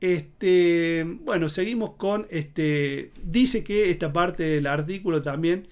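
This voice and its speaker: A man's voice: on the high side, takes it slowly. A man in his thirties with a Córdoba Argentine accent, giving rambling commentary to camera with many fillers and restarts. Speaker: political commentator. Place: indoor home studio in front of a green screen.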